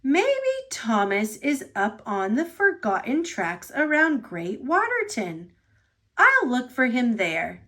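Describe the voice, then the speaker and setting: A caring, determined steam engine from a picture book, read by a woman, with a highish, swooping voice. He sounds like a reassuring friend who will not give up. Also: wildly swooping pitch, takes it slowly, sharp tone, full character voice. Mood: thoughtful. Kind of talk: children's storybook character.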